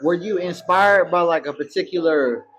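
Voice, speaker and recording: A man's voice: high voice, moderate pace, fairly bright tone. Loose, rambling male interview host. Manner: loose, rambling questions in slang. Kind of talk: casual interview.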